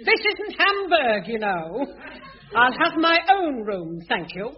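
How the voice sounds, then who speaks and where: An actress playing a haughty, censorious middle-aged spinster sister in a radio sitcom. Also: female voice, on the high side, sing-song, slow. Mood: sniffy, disapproving. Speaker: sitcom character. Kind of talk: 1980s studio radio sitcom acting. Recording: dull old radio recording with studio audience laughter.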